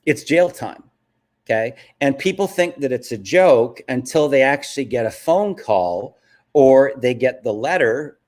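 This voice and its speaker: A man's voice: mid-range voice, lively intonation, a little slow, a little mellow. A man hosting a live stream, answering viewers and stressing points with frequent "okay?" check-ins. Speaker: host of a live business stream.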